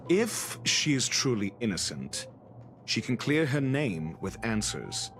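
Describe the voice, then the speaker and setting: A haughty scholar from a video game, cross-examining with cool disdain. His pitch moves in lively, theatrical swings, and every phrase is pompous and sardonic. Music plays behind him. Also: slow, full character voice. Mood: measured, skeptical. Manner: pompous, sardonic, elaborately articulate. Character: haughty, skeptical male scholar interrogating suspects with cool disdain.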